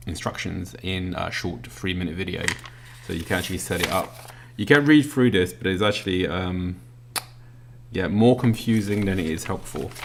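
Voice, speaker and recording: A British man casually walking through an unboxing, talking as he handles the items with lots of ums and pauses. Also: deep voice, gravelly. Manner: relaxed, filler-heavy, talks while handling items. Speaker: product reviewer.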